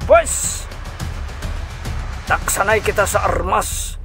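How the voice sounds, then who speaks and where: A man's voice: high-pitched, lively intonation, takes it slowly, vocal fry, over music, heavily acted. A man playing a vengeful, accusing gunman in a confrontation in an audio drama. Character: vengeful gunman confronting his father's killer.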